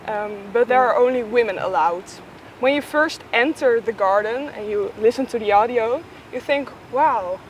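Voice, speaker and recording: A woman explains things in an interview. Her voice is on the high side and her pace is very slow, with faint noise in the background. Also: rough recording. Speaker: audio play maker.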